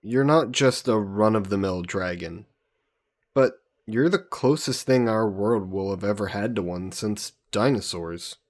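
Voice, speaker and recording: A man voicing an easygoing, teasing young adventurer hero in an intimate fantasy roleplay audio drama, casual and gently coaxing. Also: on the low side, a little slow. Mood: fond, reminiscing. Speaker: voice actor.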